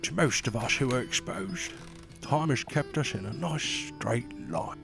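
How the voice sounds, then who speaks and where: A man's voice: mid-range voice, measured pace, creaky, music in the background. A man playing a loyal aging royal advisor. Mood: wry. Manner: formal, courtly, gently doubting. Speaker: voice actor in audio drama. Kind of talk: fantasy audio drama acting.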